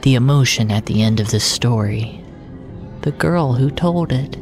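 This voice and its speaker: Slow, solemn man narrating over music. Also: mid-range voice, animated, darkish tone. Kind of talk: scripted audio drama narration. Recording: echoey, with music underneath.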